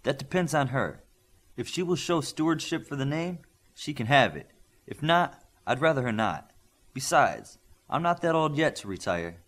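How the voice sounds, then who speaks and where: A man voicing a dignified middle-aged warrior, a calm and proud father, in an audiobook. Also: medium pitch, moderate pace, voice pushed up.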